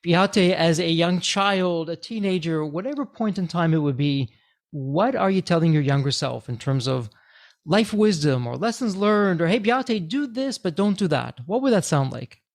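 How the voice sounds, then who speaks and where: A man's voice: a little high, expressive, moderate pace. A man hosting a podcast interview who frames his questions in an upbeat, theatrical way. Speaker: podcast host.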